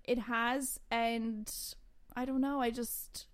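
A woman in her twenties, originally from Lithuania with Lithuanian as her first language, chatting as a podcast guest and telling stories in an animated way. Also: on the high side, takes it slowly. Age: 20s. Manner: chatty, animated storytelling with quoted voices. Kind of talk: casual chat.

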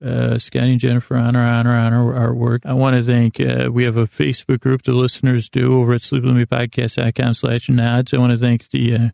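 A man's voice: mid-range voice, completely flat delivery, a little fast, creaky, few pauses, mellow, dry recording, studio quality. A man hosting a bedtime podcast in a rambling, soothing, self-deprecating way, stammering and repeating words as he goes. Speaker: podcast host.

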